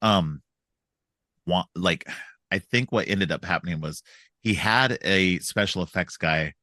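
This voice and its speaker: A man's voice: sits low, a little slow, sharp tone, dry recording, clean studio sound. A middle-aged man hosting a casual film podcast, stuffed up from a cold, rambling with frequent restarts. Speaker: podcast host.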